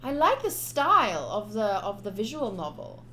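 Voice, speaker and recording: A woman's voice: medium pitch, big pitch swings, normal speed, bright, forceful tone, slight background noise. A young woman streaming a game, informal and chatty, switching between casual commentary and reading aloud.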